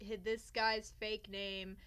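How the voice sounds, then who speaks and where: A woman's voice: mid-range voice, flat delivery, takes it slowly, fairly bright tone, smooth. A young woman vlogger telling a personal story in a casual, theatrical way with sarcastic, self-mocking asides. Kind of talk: casual storytime chat to camera.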